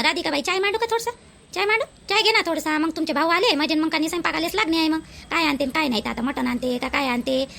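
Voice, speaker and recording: A woman's voice: sits high, creaky, pushed and bright, slight background noise, full character voice. A woman voicing a chatty, aggrieved village housewife in a cartoon, speaking with a Varhadi Marathi accent from Vidarbha in a plaintive, rambling way. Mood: resigned, chatty. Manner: gossipy, plaintive, repetitive rambling. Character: chatty, aggrieved village housewife complaining about her sister-in-law. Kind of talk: amateur cartoon dialogue in village dialect.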